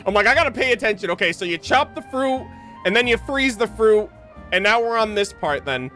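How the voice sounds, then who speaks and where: A man streams a video game with a bright, forceful voice that sits high and moves around a lot. His commentary is casual and slangy, with frequent swearing, and faint music plays behind him. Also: normal speed. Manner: slangy, swears freely, excitable. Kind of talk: casual live gameplay commentary, reading game text aloud.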